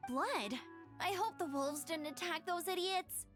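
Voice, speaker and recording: A woman's voice: very high-pitched, a little slow, over music. An actress playing a dutiful, earnest, resolute young female knight in a video game. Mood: alarmed. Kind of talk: video game character dialogue.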